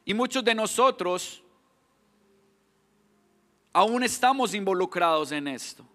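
A man's voice: high-pitched, expressive, slow, fairly bright tone, in a large hall. A man in his thirties with a Colombian accent, preaching a sermon earnestly, often restarting words and building to emphatic questions.

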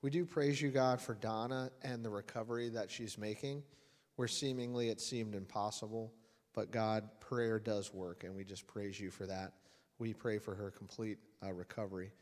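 A man's voice: lowish voice, steady tone, moderate pace, darkish tone. A man leading a church service in prayer and announcements, earnest and plain-spoken with frequent uhs. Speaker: lay worship leader. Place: church sanctuary. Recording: long church-hall reverb with faint music.

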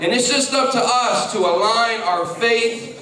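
A man's voice: very high voice, a little slow, pushed and bright. A man leading a church service, talking casually and then praying aloud, often repeating words as he goes. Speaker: worship leader. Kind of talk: church service opening and prayer. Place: church sanctuary. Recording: echoey sanctuary from far off. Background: congregation.

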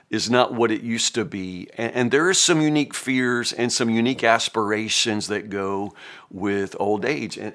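A man's voice: medium pitch, a bit bright. A man, a pastor giving a casual, folksy Bible reflection full of "you know" and restarts. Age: 50s. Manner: folksy, conversational, many fillers and restarts.

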